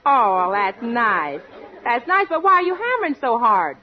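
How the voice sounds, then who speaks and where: An actress playing a brassy, folksy, ditzy comedy star, exclaiming in 1940s radio comedy style. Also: female voice, fairly high pitch, big pitch swings, normal speed. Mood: brisk, exclaiming. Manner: exclaiming, folksy comic delivery. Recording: dull, noisy vintage radio recording, studio audience.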